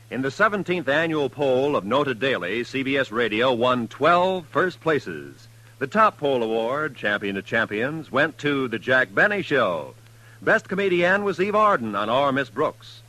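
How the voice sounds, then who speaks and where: Bright, animated American radio announcer man. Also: medium pitch, low-quality recording. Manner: polished, formal. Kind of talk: 1950s radio announcing, scripted.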